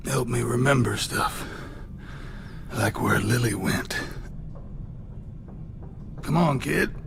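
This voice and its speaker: Game villain: injured middle-aged captive raider, heavily gravelled voice, sardonic and menacing, strained delivery, noisy capture. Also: male voice, mid-range voice, takes it slowly. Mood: sly, weary. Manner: taunting, profane, sardonic. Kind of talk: video game voice acting.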